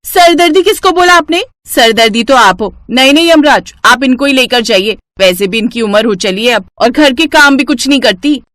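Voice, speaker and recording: A woman voicing a sharp-tongued, bossy young daughter-in-law who scolds and complains in an animated moral story. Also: fairly high pitch, normal speed, few pauses, no echo. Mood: irritated, defiant.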